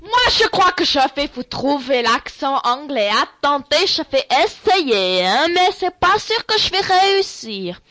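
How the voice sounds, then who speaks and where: A woman's voice: high voice, sing-song, measured pace, few pauses, pushed and bright, dry recording. A woman playing a silly radio host with fake-formal announcer manners in an improvised sketch.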